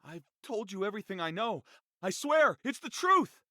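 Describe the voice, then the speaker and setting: A man's voice: high voice, very animated, moderate pace, sharp tone, no room sound, clean studio sound, heavily acted. A man voicing an anxious impostor sprite in a video game cutscene, flustered and pleading, with a dramatic delivery. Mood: anxious confession. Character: anxious impostor sprite posing as a male wish master. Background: background music.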